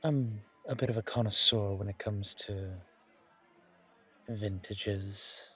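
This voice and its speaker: Man voicing a slow, husky, courtly vampire gentleman. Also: on the low side, steady tone, soft background music, exaggerated voice. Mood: playful, nostalgic. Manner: genteel, poetic, unhurried, flirtatious. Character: suave, courtly, old-world male vampire charming a stranger. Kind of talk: roleplay audio, ASMR horror.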